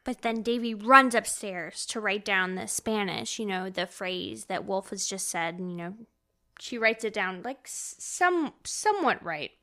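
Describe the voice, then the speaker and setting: A woman's voice: medium pitch, expressive, a little slow, bright, forceful tone. A woman podcast host chatting casually as she retells a novel in an informal, conversational way. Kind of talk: casual chat recapping a novel.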